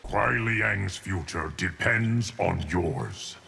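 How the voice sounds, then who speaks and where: A man playing a solemn, stern immortal guardian of time in a video game, speaking formally in a deep, gravelly voice. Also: animated, drawn-out words, sharp tone, slight background noise, exaggerated voice. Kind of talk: video game character dialogue.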